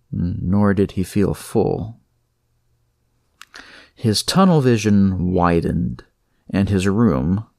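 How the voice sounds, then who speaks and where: A man's voice: fairly low pitch, creaky, darkish tone, no echo, clean studio sound. A man narrating scripted horror fiction as a storyteller.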